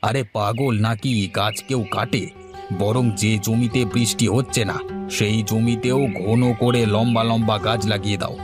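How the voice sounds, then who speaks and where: A man plays a cartoon village headman. He hands out advice breezily and matter-of-factly, unbothered by anyone's trouble. Soft music plays behind him. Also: on the low side, fairly even intonation, moderate pace, natural voice. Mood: breezy advising. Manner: matter-of-fact, breezily advising. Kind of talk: cartoon dialogue.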